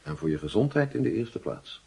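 An actor playing a stern, moralising schoolmaster in a radio play, grave and patronising. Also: male voice, mid-range voice, big pitch swings, brisk, gravelly, a little mellow, husky, full character voice.

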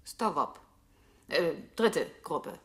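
An actress playing a terse, commanding computer control unit in an experimental radio play, giving clipped orders. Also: female voice, low voice, lively intonation, drawn-out words, heavily acted.

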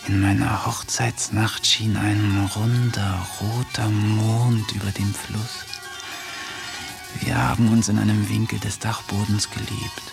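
A man doing a hushed, deadly female spider. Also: lowish voice, flat delivery, takes it slowly, creaky, music in the background. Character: female spider, cold, devours her mates.

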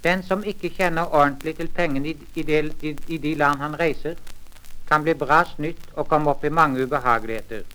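A man reads as a language course instructor. His delivery is formal and measured, every word carefully enunciated. It comes from a vintage 78rpm teaching record. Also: on the high side, sharp tone, poor audio. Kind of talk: formal scripted lesson reading, old-time language record.

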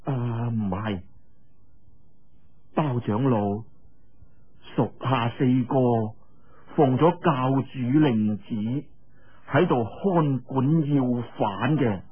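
A man voicing a deferential male subordinate in soft, halting, obsequious Cantonese. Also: medium pitch, breathy edge, narrow, tinny audio. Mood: deferential, nervous. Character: meek, deferential male subordinate guarding a prisoner.

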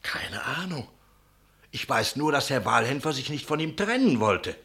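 A man's voice: mid-range voice, sing-song, normal speed. A man playing a shaken innkeeper giving deferential testimony to an officer in a 1960s radio play. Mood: evasive. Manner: dramatic, hushed moments rising to loud exclamations. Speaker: actor.

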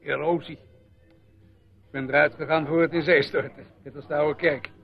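An actor playing a grumbling, sceptical village doctor and pub regular in a radio drama, with a gruff, scoffing manner. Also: male voice, a little high, fairly even intonation, normal speed, faint music behind. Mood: dryly reminiscing. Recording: dull old radio play recording.

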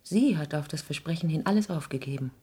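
An actress playing a grave, wounded, protective middle-aged mother, halting and solemn, in a 1960s German radio drama. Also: low-pitched, animated, mellow, slightly rough, full character voice. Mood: sorrowful.

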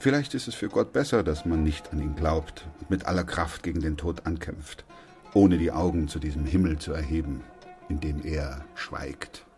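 A man playing a sober, weary, steadfast doctor in a German radio play, speaking in a grave, measured, reflective way. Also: rumbling low, a little mellow, soft background music. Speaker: actor playing the narrator and lead.

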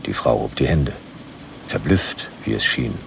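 An actor playing a laconic hardboiled detective who narrates a radio play in a dry, clipped, gravelly delivery. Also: male voice, rumbling low, big pitch swings, measured pace, noisy background, exaggerated voice. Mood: dry, suspenseful.

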